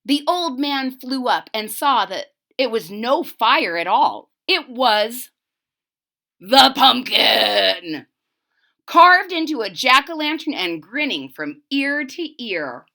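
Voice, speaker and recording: Animated, bright-voiced woman reading a storybook. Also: on the high side, takes it slowly, creaky. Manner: animated, dramatic, questioning listeners. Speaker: storybook reader. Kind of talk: expressive children's read-aloud with asides to listeners.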